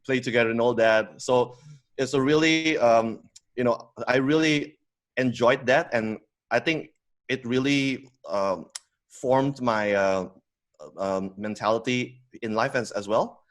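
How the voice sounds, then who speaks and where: A man's voice: medium pitch, a little slow. A man in his thirties from Indonesia, a guest telling his story in a casual interview, hesitant with many fillers and restarts. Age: 30s. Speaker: podcast guest, company co-founder.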